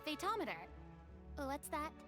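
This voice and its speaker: Very high, squeaky little fairy girl. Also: very animated, normal speed, music in the background. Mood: puzzled. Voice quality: squeaky, childlike. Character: small, excitable, curious girlish fairy companion. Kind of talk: video game character acting.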